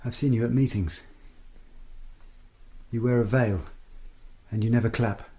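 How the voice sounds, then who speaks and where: A man's voice: medium pitch, fairly even intonation, unhurried, mellow, close to an everyday voice. A man acting a wary young amateur spy in a radio drama, guarded and probing.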